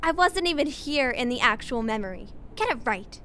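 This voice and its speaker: A woman's voice: sits high, animated, normal speed, bright, forceful tone, a little hiss or hum. A woman playing a defiant, stubborn young female rival in a video game fan dub, curt and confrontational. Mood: scornful.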